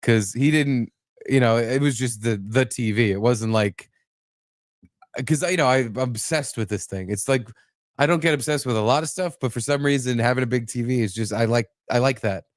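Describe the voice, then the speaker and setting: A man's voice: medium pitch, normal speed, no echo, studio quality. A man hosting a podcast, chatting casually and comedically, telling profane stories with acted-out exclamations. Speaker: podcast host.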